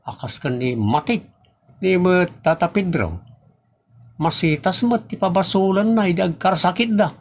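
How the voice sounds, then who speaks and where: A man's voice: sits high, expressive, normal speed. A man playing an outspoken, teasing friend, blunt and bantering, in a comedy audio drama.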